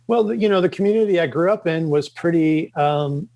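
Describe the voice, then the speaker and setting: A middle-aged man from the Chicago suburbs, a podcast guest, talking casually and haltingly with many fillers and restarts. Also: fairly high pitch, creaky, darkish tone, highs cut off. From Chicago suburbs, Illinois. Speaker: podcast guest, comics creator. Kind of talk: casual interview talk.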